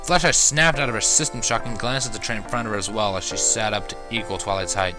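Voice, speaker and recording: A man's voice: lowish voice, quick pace, over music. A man narrating a first-person fan fiction story, reading expressively as an amateur.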